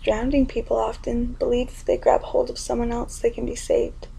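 Husky whispering young woman poet. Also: fairly high pitch, little pitch movement, moderate pace, dark tone, rough recording. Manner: intimate, often whispered delivery. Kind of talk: spoken word poetry performance.

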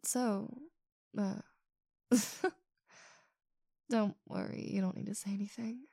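A woman playing a possessive but affectionate girlfriend in an intimate roleplay audio, with a breathy, drawn-out delivery. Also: mid-range voice, fairly even intonation, mellow, no echo, clean studio sound, full character voice. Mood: sheepish, affectionate.

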